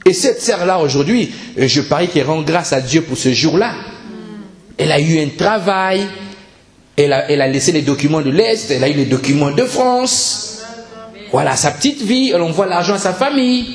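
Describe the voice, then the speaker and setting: A man's voice: a little high, normal speed. Preaching man in a roomy, echoing space. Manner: animated storytelling with repetition for emphasis. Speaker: pastor preaching. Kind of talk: Sunday church sermon, storytelling exhortation and call to prayer. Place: indoor church service. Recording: roomy church sound with music.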